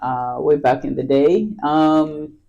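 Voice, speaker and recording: A woman's voice: low voice, steady tone, gravelly, mellow, muffled recording. Woman chatting with easy hesitations. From Kenya. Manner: unhurried and hesitant, many fillers and restarts. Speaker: podcast guest, real estate agent. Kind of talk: casual interview chat.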